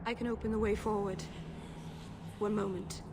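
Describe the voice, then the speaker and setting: Woman acting young female elf mage, nervous, earnest, apologetic, medium pitch, video game dialogue, noisy background. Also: level tone, normal speed, natural voice. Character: young elven mage, shy, rambling, earnest. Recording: game capture with clear background noise.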